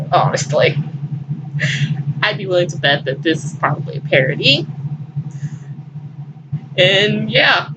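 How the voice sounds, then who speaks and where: Slow-paced theatrical woman on a noisy recording. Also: fairly low pitch. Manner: theatrical, breaking into amused asides. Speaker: host of a fan fiction reading show. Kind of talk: dramatic storytelling reading with casual asides. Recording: muffled, noisy, distant microphone.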